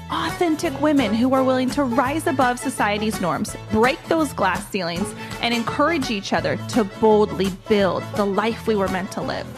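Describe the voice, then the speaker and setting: A woman hosting an interview show, upbeat and playful, laughing easily with her guest. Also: a little high. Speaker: podcast host, general contractor. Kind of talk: scripted intro then casual interview.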